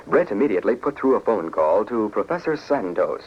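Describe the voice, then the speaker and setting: A man's voice: fairly low pitch, very animated, fairly quick. A man narrating a scripted adventure serial in 1940s radio style. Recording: muffled, faintly noisy 1940s radio recording.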